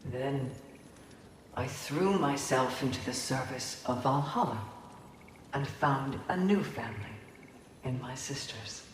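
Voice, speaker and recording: An actress playing a solemn, gentle and resolute valkyrie in a video game, speaking in measured, earnest phrases. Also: female voice, rumbling low. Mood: reflective, resolved. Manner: measured, deliberate, earnest delivery with pauses. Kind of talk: dramatic video game voice acting. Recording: echoey long reverb, faint background noise.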